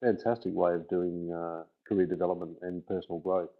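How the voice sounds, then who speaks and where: A man's voice: low voice, flat delivery, fairly quick. An Australian man hosting a webinar, hesitant and full of fillers as he talks. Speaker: webinar host. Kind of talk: webinar interview. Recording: tinny phone-like line.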